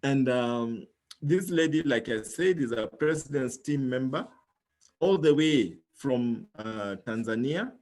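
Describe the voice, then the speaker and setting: A man's voice: medium pitch, expressive, slow pace, a little mellow. Persuasive man hosting a business call. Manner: persuasive, calls for agreement with "yeah?". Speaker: host. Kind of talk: motivational business talk.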